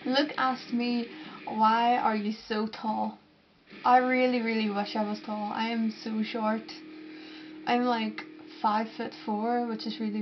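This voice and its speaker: A young woman from Northern Ireland with a Northern Irish accent, chatting casually to camera and answering viewer questions. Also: on the high side. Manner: chatty, rambling, with fillers and restarts. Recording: dull lo-fi sound with noticeable background noise.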